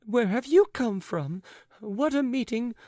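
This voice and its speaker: A woman's voice: on the high side, lively intonation, a little slow, dark tone, no room sound, clean studio sound. A woman voicing a flighty, chattering society lady with breathless, fluttery speech. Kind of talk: audiobook character voice.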